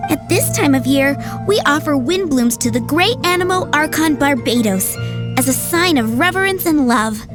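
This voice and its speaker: A young flower shop girl in an anime game. Her voice is high and very lively in pitch, sweet, polite and chirpy. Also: normal speed, music in the background. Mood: cheerful, informative. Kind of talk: anime game dialogue acting.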